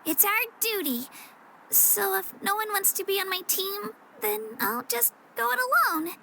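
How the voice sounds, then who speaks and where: A woman's voice: very high-pitched, measured pace, creaky, sharp tone, slight background noise, exaggerated voice. A woman voicing an earnest, modest young warrior girl in a video game, youthful and a little hesitant. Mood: resigned, humble. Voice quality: youthful, girlish. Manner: earnest, self-effacing, hesitant. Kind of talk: video game character voice acting.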